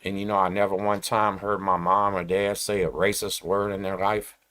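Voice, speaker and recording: Streetwise man ranting, low voice. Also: little pitch movement, moderate pace, fairly bright tone. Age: 40s. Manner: streetwise slang, emphatic and repetitive. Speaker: host. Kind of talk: casual rant to camera.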